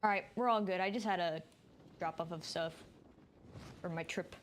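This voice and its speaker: A woman's voice: fairly low pitch, moderate pace, a little hiss or hum. A woman streamer giving informal, rambling live commentary while she plays a video game. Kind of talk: casual live gameplay commentary.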